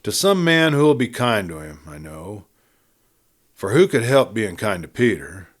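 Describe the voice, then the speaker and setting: Earnest young western woman, voiced by a man, animated. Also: on the low side, measured pace. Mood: sad, trusting. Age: young adult. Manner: earnest, frank, affectionate. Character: earnest, trusting young woman proud of her horse. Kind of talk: audiobook character reading of a western.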